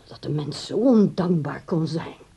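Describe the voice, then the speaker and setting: Grown daughter, reproachful, nagging, very lively intonation, soft dark tone, radio play. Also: female voice, low voice, unhurried, gravelly, husky, heavily acted. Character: reproachful dutiful grown daughter of an old man.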